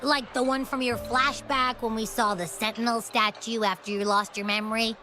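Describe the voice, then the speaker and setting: A woman's voice: a little high, fairly even intonation, moderate pace, close to an everyday voice. A young woman voicing a cheerful, food-loving companion in a video game cutscene, bubbly and a little boastful. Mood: curious. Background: faint game music.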